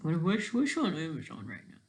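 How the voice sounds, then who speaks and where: Creaky-voiced speaker rambling through casual commentary. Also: mid-range voice, wildly swooping pitch, fairly quick. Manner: rambling, thinking aloud, frequently digressing. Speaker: video creator.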